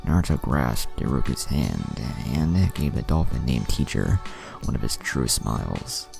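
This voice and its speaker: A man's voice: extremely low pitch, sing-song, unhurried, gravelly. A man narrating a dramatic fan fiction story as a scripted reading. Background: music.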